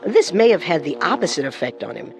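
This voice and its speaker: A woman's voice: low voice, sing-song, a little fast, gravelly, over music. A woman co-hosting a true crime show, delivering scripted narration with dramatic phrasing.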